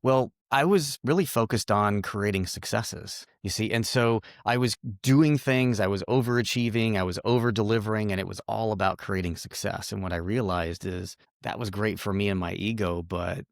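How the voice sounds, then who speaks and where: A man's voice: lowish voice, normal speed, hardly any pauses, no echo, clean studio sound. An older man whose first language was Greek, speaking as an interview guest and telling his story in an earnest, emphatic, reflective way. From United States. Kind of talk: candid conversational storytelling.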